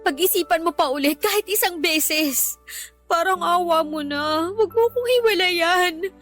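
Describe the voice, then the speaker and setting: High-voiced pleading girlfriend. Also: normal speed, a bit bright, no echo. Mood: desperate begging. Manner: pleading, emotional. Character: pleading live-in girlfriend and mother, proud but desperate. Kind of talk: Tagalog radio drama acting. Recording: faint music underneath.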